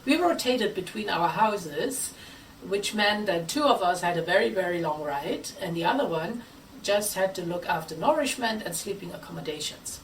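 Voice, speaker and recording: Female storyteller, theatrical and playful, very expressive pitch, recorded at a distance from the mic. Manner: theatrical, dramatic pauses, playful acted asides. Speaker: theatre company member telling its history. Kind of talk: scripted storytelling to an audience. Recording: faint background noise, distant microphone.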